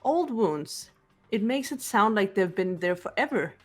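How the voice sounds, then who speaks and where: A woman voicing a cool, analytical teenage girl detective, calm and measured, lecturing with precise logic. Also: mid-range voice, slightly rough, faint music behind. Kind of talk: amateur voiced game playthrough.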